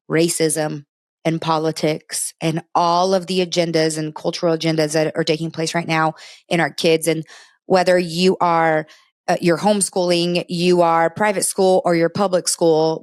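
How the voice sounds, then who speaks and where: Middle-aged female co-host, Brazil-born native Portuguese speaker. Lowish pitch, dry sound, earnest conversational advice. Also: no room sound. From Brazil, moved to the US at eleven. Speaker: co-host of a parenting and family talk show.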